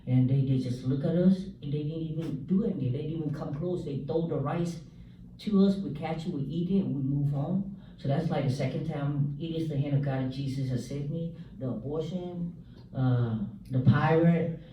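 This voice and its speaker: A man from South Vietnam, giving a halting church testimony full of fillers and joking asides. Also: fairly high pitch, dark tone, slight background noise. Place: church hall. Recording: echoey hall, mic from far off.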